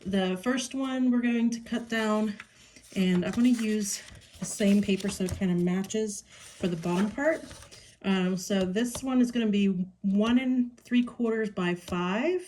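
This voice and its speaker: A woman with a Pittsburgh accent walks through a craft project, taking her time, pausing often with ums and breaking into delighted remarks. Her speech moves slowly. A clear hiss and clicking sit under her voice from the home craft room. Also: medium pitch, darkish tone. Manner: unhurried, chatty, many ums and pauses, delighted asides. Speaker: craft tutorial host. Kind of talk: casual crafting tutorial.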